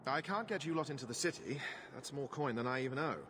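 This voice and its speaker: A shrewd smuggler boss bargains in a dry, businesslike way, as a man voices him. His medium-pitched voice moves lively through each line. Faint game ambience sits behind. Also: fast, slight background noise, natural voice.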